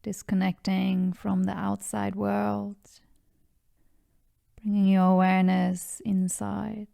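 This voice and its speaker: A woman narrating a guided meditation in a calm, soothing, measured way. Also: mid-range voice, gravelly, no room sound, studio quality. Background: background music.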